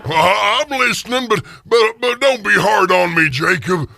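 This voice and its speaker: A man's voice: sits high, expressive, unhurried, gravelly, pushed and bright, long reverb tail, voice pushed up, exaggerated voice. A man playing a nervous, crotchety old miser who stammers querulously in a radio drama. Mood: anxious. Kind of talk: radio theater acting.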